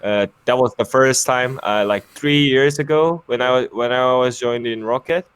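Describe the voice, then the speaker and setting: A young man from Busan who speaks English with a Korean accent, a former pro player and caster chatting casually in an interview, halting with many fillers and restarts. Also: mid-range voice, fairly bright tone, clean tone, no room sound. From Busan, South Korea. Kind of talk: casual interview over a call.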